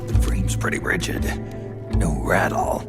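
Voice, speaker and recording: A man playing a gruff, wary veteran soldier in a video game, speaking in a clipped, gravelly, breathy growl. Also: measured pace, over loud music, lightly acted. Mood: terse, appraising. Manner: clipped, suspicious questioning. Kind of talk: video game cutscene acting.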